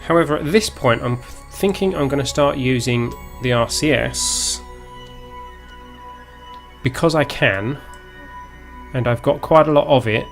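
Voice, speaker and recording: A man with a southern English accent commentates live on his own gameplay. His voice sits at a medium pitch. He talks casually and off the cuff, half to himself, over music. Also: unhurried, gravelly, long pauses. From Essex, England. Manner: unscripted, muttering to himself as he plays. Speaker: live streamer, gaming host.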